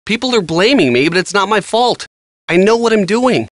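A man's voice: sits high, lively intonation, moderate pace, fairly bright tone, no room sound. An actor playing an earnest young American handyman in a video game, talking casually and a little defensively. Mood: defensive, uneasy. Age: young adult. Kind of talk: conversational video game dialogue.

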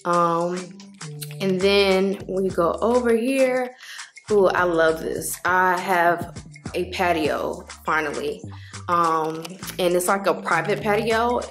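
A woman's voice: fairly low pitch, slow pace, fairly bright tone, soft background music. A young woman vlogger giving a chatty, gushing walk-through of her empty new apartment, filming on a handheld phone. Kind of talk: casual apartment tour. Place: empty apartment indoors, rooms and patio. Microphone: handheld phone camera.

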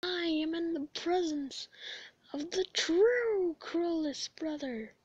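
Comic-dub skeleton brother, female performer: very high, exuberant, innocent, declamatory, dry sound. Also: no room sound, lo-fi sound. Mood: proud, boisterous. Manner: loud, declamatory, drawn-out emphasis. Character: exuberant, childlike, devoted male skeleton brother.

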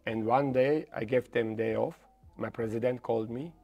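A middle-aged man, a native Croatian speaker, talking as a guest in English that halts and repeats words, sometimes getting animated when telling a story. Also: mid-range voice, moderate pace, muffled recording, soft background music. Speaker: football coach, guest. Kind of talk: casual interview in non-native English. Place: dark studio set with red armchairs. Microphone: lapel mic.

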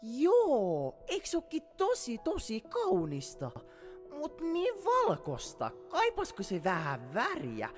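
An excitable, naive little cartoon snowman, played by a woman with a high voice. He chatters in childlike exclamations that swoop wildly up and down, over faint music. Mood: bubbly. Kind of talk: animated film fan dub.

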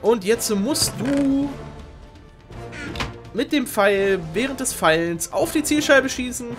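Excitable young man commentating over music. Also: very high voice, slow pace. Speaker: game show host. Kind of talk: live commentary of a Minecraft race. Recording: music behind the voice.

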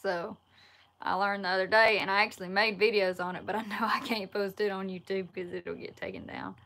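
A woman in her twenties with a Southern Alabama accent, chatting casually on a livestream and answering viewer questions in a relaxed, folksy way from a wood-paneled room. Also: mid-range voice, brisk, vocal fry. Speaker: outdoors YouTuber answering live chat. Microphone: laptop or phone camera at arm's length.

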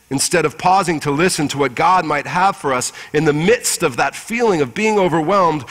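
Higher-voiced male preacher in a reverberant church. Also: a bit bright. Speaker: pastor preaching. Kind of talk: Easter Sunday sermon with scripture reading. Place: large church sanctuary with congregation. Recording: reverberant church hall through PA.

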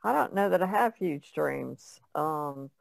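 A woman's voice: low-pitched, expressive, slow pace, gravelly, dry recording. A woman artist in casual podcast conversation, speaking in a modest, understated way.

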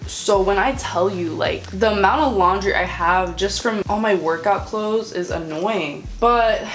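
A woman's voice: medium pitch, measured pace, slight background noise, rough recording. A woman in her early twenties chatting frankly to the camera in a casual vlog from her bedroom, swearing now and then. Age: early 20s. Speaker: vlogger. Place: bedroom at home, indoors. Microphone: camera on a stand, she moves around the room.

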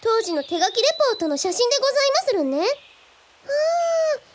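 Super high little girl, curious and old-fashioned polite, radio drama, birds and noise behind. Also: sing-song, moderate pace. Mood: intrigued. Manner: archaic, over-formal, quirky polite speech. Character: polite, quaint genius Spanish girl, cheerfully curious. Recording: clear background noise with birdsong.

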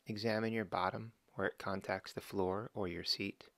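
A man slowly and calmly guiding a body scan meditation, giving gentle step-by-step instructions with long pauses. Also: sits low, vocal fry, no echo. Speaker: meditation guide.

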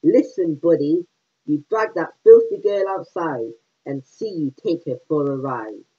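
A man's voice: on the high side, expressive, unhurried, breathy edge. A man doing a screaming wicked queen. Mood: furious. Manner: loud yelling threats. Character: spoiled furious wicked queen, woman, shrieking threats. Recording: tinny phone-like line.

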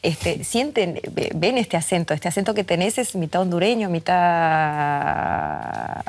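Female TV host, 40s, lively chat, lapel mic in studio. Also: lowish voice, unhurried, a little hiss or hum. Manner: lively, chatty, uses vos. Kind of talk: studio interview.

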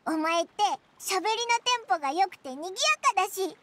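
A woman voicing a tiny, cheeky, gluttonous fairy companion in an anime game, with a squeaky childlike voice and brash, whiny, perky delivery. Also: quick pace, noisy background. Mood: cheerful, cheeky. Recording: music under the voice. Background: game music.

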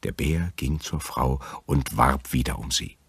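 Very deep, gravelly male storyteller. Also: unhurried, a bit bright, dry recording. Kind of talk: scripted fairy-tale narration.

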